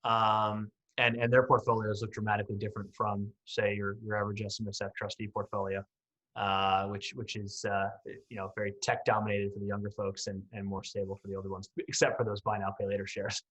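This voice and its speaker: A man's voice: on the low side, completely flat delivery, a little fast, a bit bright, low-quality recording. A man in his late thirties answering as a podcast guest in a conversational, explanatory way with some hedging. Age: late 30s. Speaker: podcast guest, company CEO.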